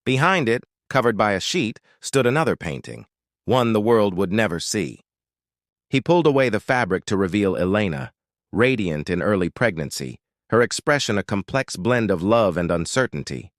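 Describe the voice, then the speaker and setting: A man narrating a scripted dramatic story in a measured, dramatic way. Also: lowish voice, big pitch swings, no echo, studio quality.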